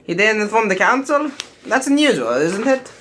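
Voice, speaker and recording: A woman plays a young male warrior in an audio drama parody, brooding and devoted. The voice sits at an ordinary middle pitch for a woman. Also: big pitch swings, normal speed, fairly bright tone, a little hiss or hum. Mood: questioning.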